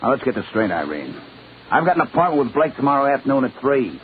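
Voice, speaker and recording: An actor with an American accent playing a slick, pushy small-time swindler in old-time radio drama. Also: male voice, mid-range voice, sing-song, fast, lightly acted. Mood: menacing. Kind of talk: 1950s radio drama acting. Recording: tinny, hissy old radio recording.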